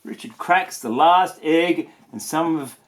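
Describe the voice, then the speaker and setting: A man's voice: a little high, slow pace. A man reading a children's storybook aloud in a playful way, with chatty asides. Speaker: storybook reader. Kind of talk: animated children's read-aloud.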